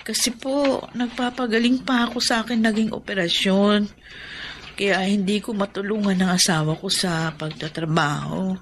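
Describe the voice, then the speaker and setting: A woman's voice: mid-range voice, moderate pace, a little hiss or hum, lightly acted. Woman acting an anxious, pleading Filipina mother. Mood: worried, pleading. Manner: pleading, timid. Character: anxious, ailing mother of a poor family. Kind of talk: Filipino radio drama acting.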